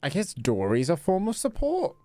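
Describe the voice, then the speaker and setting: A man's voice: on the high side, huge pitch swings, moderate pace, a little mellow. A man streaming a game, musing aloud in casual, offhand commentary. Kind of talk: casual live gameplay commentary.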